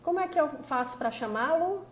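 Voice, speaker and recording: A woman's voice: high voice, animated, fairly quick. A woman from Fortaleza with a Northeastern Brazilian accent, hosting a casual live stream on her phone with many chatty asides. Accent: Northeastern Brazilian (Ceará). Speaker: host, labor prosecutor.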